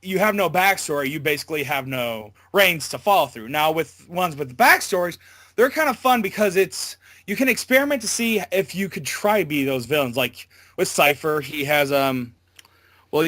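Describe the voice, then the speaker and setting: A man giving a casual interview as a voice actor, talking in a halting, loosely worded way. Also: medium pitch, bright, forceful tone, no room sound, studio quality.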